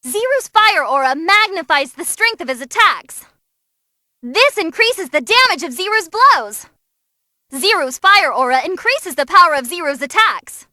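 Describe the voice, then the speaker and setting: A woman voicing an excitable young female demon who commentates on tournament fights in a bubbly, theatrical way for a game. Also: very high voice, measured pace, no echo, studio quality, full character voice. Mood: excited, hyped.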